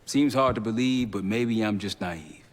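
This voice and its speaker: An actor playing a steady, pragmatic intelligence operative in a video game, an American man talking frankly and colloquially. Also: fairly low pitch, normal speed, close to an everyday voice. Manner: laid-back, frank, colloquial. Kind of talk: video game dialogue acting. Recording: long reverberant room echo.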